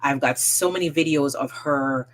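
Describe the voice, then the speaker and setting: A woman storyteller speaking as an interview guest, in an animated, expressive way. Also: low voice, normal speed, slight background noise, low-quality recording. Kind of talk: casual interview talk.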